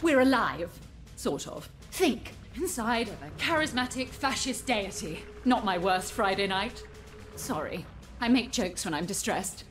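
A woman's voice: medium pitch, animated, unhurried, music in the background, natural voice. A woman voicing a vengeful, sarcastic alien villain queen in a cartoon, melodramatic with dry asides. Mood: distressed, wry. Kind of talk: animated comedy acting.

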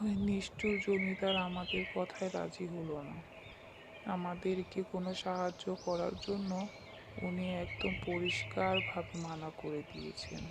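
Man playing a high-voiced, earnest village husband. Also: gravelly, very noisy. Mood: dejected. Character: worried, humble village husband and father. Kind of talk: Bengali cartoon character voice acting.

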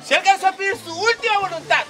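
A man performing a loud, playful street comedy sketch outdoors, wailing and bickering. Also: very high-pitched, fast. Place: outdoor plaza before a large seated crowd. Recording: outdoor crowd noise and music.

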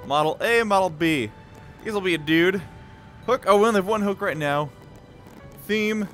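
A man giving excited let's-play commentary in a playful, exclamatory way, breaking into goofy sound effects and sing-song. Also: sits high, moderate pace. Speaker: gameplay video host. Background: video game music.

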